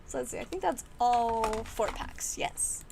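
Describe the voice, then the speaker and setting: A woman hosting a card-opening livestream, chatting casually and excitedly, her voice slightly raspy and strained. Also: a little high, measured pace, background noise. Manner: chatty and excitable, with frequent laughs. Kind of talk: casual livestream chat while opening packs.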